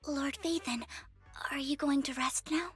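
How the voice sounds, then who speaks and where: A woman's voice: high-pitched, fairly even intonation, measured pace, faint music behind. An actress playing an earnest, devoted young woman in a video game, speaking formally and deferentially with a haunted sincerity. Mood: subdued, apologetic.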